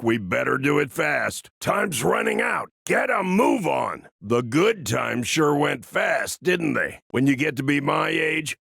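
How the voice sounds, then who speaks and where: Gravelly, cocky old cyborg fighter. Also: male voice, mid-range voice, bright, forceful tone, dry recording, studio quality, exaggerated voice. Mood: grumbling. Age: older. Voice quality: gravelly, raspy. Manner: folksy, wisecracking, drawling old-timer. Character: gruff, cocky aging male cyborg fighter, folksy old-timer. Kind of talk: video game character voice lines.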